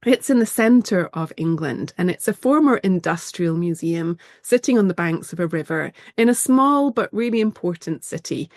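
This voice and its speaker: A woman's voice: fairly low pitch, expressive, moderate pace, few pauses, darkish tone, no room sound, studio quality. A woman with a slight cold and a nasal voice, giving an enthusiastic slide presentation as a museum director over a video call.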